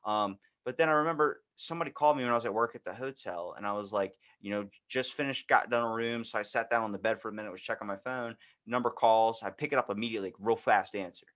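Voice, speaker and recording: Profane American man telling animated stories. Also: lowish voice, fast, hardly any pauses. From Maryland, United States. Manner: profane, animated, acts out quoted exchanges. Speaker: podcast guest and voice actor. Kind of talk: casual comic storytelling.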